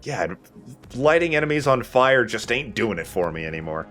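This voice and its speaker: A man's voice: mid-range voice, sing-song, normal speed, a bit bright, faint music behind. A man giving casual commentary on a video game, with a dry, opinionated way of talking. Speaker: let's play host.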